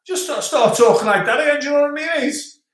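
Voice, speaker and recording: Chatty man with softened Scouse accent. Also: very high voice, quick pace. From Liverpool, England. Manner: chatty, rambling, stops and restarts. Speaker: live stream host. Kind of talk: casual live chat reading out viewer comments. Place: home in the French countryside. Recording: roomy echo.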